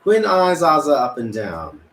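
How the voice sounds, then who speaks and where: A man's voice: fairly high pitch, huge pitch swings, a little slow, fairly bright tone. A man narrating stage directions at a script table read. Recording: echoey room, faint noise.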